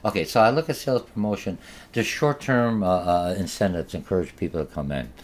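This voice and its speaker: A man's voice: sits low, brisk. A man with a Polish accent, whose first language is Polish, giving a recorded college marketing lecture, rambling with many fillers and restarts.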